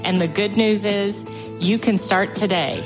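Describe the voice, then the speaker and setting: A woman's voice: medium pitch, steady tone, unhurried. A woman reading an inviting, scripted promo that opens a podcast. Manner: bright, inviting. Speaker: show announcer. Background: music.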